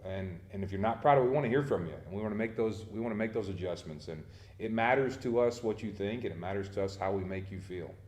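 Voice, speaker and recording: A deep-voiced man talks quickly and earnestly as a head coach, repeating words as he starts his sentences. His voice rings in an echoey room. He sounds like he is answering questions on a local sports show. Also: a little mellow. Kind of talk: casual interview answers.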